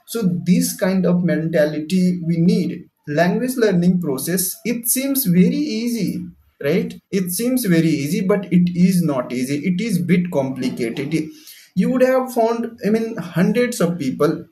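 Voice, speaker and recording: Earnest man, Hindi native, mellow voice. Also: sits high, animated, a little slow. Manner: earnest, repetitive, addresses viewer directly. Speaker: English teacher. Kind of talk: motivational talk to camera. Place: ground-floor room at home.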